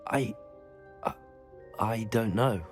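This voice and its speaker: An actor playing a calm new student wizard in a game, speaking in a measured, polite, reserved manner. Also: male voice, fairly low pitch, soft background music.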